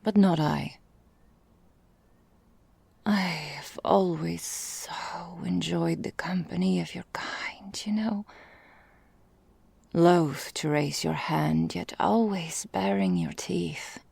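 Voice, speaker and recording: An ancient vampire mistress, acted by a woman, murmurs an intimate ASMR monologue in a dark, low, half-whispered voice. She is possessive and quietly menacing, with archaic, formal phrasing. The sound is breathy and very close and dry. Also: animated, takes it slowly, long pauses, no echo, heavily acted. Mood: wistful, possessive.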